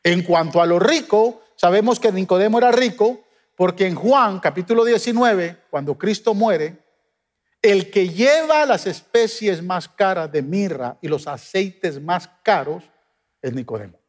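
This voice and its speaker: Guatemalan male pastor, high-pitched, emphatic sermon delivery, reverberant church hall. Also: lively intonation, measured pace. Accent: Guatemalan Spanish. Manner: emphatic preaching, swings between loud peaks and soft drops.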